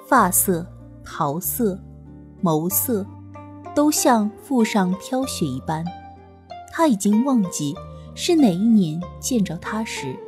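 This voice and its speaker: A woman reading an audiobook in expressive storytelling narration. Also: mid-range voice. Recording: narration over soft music.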